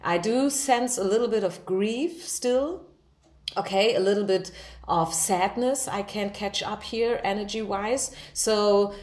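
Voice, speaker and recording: A woman speaks as a tarot reader at a medium pitch. She talks informally and straight to the listener, reassuring and direct. She often adds small check questions to see that the listener follows. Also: a little slow, a little mellow. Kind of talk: informal spoken tarot reading to viewers.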